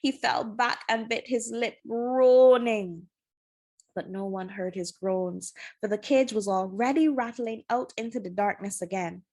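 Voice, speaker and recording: Expressive woman reading an adventure story. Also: on the high side, measured pace. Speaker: storybook reader. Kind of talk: scripted narration, children's adventure novel read aloud.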